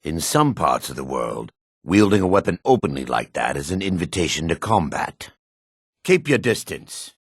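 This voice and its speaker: A man voicing a gruff, guarded dark elf townsman in a fantasy video game, with a raspy, measured, theatrical delivery. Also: extremely low pitch, no room sound, clean studio sound, full character voice. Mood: menacing, wary. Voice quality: raspy, gravelly.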